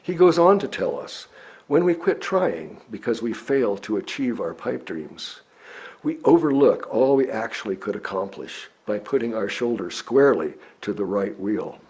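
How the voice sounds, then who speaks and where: A man reads a written sermon aloud in a measured, deliberate, oratorical way, with a husky voice. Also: lowish voice, big pitch swings, darkish tone. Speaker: lay preacher reading a written sermon. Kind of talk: sermon read from a script. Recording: dull, lo-fi recording.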